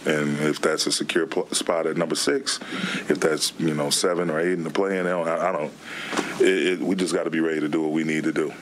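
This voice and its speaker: A middle-aged man, a basketball head coach, answering questions at a postgame press conference in a plainspoken, relaxed way. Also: extremely low pitch, brisk, a little hiss or hum. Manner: relaxed, plainspoken, frequent "man" and "you know". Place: press room before a team backdrop. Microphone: table microphone.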